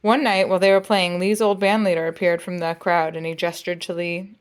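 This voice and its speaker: A woman's voice: lowish voice, level tone, a little fast, clean tone. A woman reading a picture book aloud as a storyteller, with playful, dramatic phrasing and jazzy sound effects.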